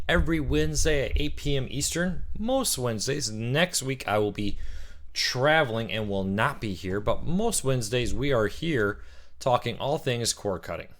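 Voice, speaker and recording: A man with a slightly rough, worn voice after a weekend of cheering, hosting a relaxed live-stream Q&A. He chats easily, greets viewers by name and works through their questions one by one. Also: mid-range voice. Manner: chatty, reads out viewer comments and greets them by name.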